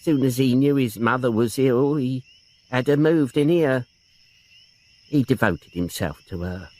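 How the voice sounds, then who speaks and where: A woman's voice: deep voice, very animated, measured pace, pitched above the performer's own voice, heavily acted. A man voicing a grieving elderly woman in her seventies, tremulous and protective of her nephew. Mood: pleading. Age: 70s. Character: grieving elderly aunt, frail and tearful.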